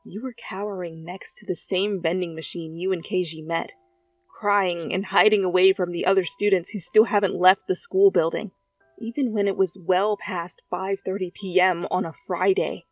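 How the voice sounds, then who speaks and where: A woman's voice: fairly low pitch, steady tone, normal speed, no room sound. A woman reading scripted second-person fiction as a narrator, close to the microphone. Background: soft background music.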